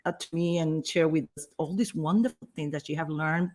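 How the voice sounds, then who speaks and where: Enthusiastic, slightly low-voiced woman interviewer. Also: steady tone, brisk, darkish tone. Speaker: interview host. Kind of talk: conversational interviewing.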